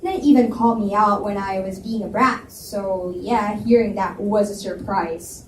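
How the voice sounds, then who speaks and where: A woman narrating a novel in the first person at a live library reading, lively and expressive. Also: medium pitch, measured pace. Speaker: reader and narrator. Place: library event space. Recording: roomy, distant mic with faint hiss.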